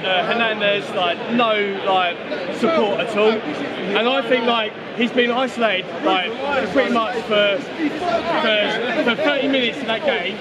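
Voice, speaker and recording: A man's voice: sits high, measured pace. A man giving casual match analysis as an interviewed fan, repeating himself and peppering it with "like". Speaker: football fan being interviewed. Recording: loud stadium crowd behind voice.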